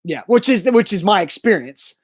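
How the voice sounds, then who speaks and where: A man's voice: high-pitched, animated, moderate pace, slightly rough, no echo. Dry, matter-of-fact male co-host. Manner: matter-of-fact, teasing, pedantic. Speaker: sports radio co-host. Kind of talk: casual sports talk banter.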